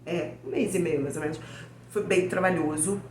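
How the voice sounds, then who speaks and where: A Brazilian woman with a low, hoarse voice, worn thin by a cold. She talks to her viewers in a chatty, casual way. Her voice carries in an echoey room with a faint hiss behind it. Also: normal speed, darkish tone. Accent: Brazilian Portuguese. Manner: chatty, addresses viewers as "gente". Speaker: book channel host. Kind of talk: casual book review vlog.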